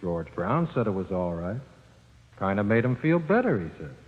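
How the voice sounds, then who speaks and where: An actor playing the eerie, taunting ghost of an executed convict with slangy speech, in 1940s radio style. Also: male voice, on the low side, very animated. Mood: sly, taunting. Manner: slow, uneducated slangy speech. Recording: echoing, muffled old radio recording.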